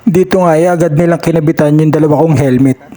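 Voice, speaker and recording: Higher-pitched Filipino man narrating a vlog. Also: steady tone, quick pace, mellow. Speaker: vlogger. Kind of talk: voice-over narration of a shopping vlog.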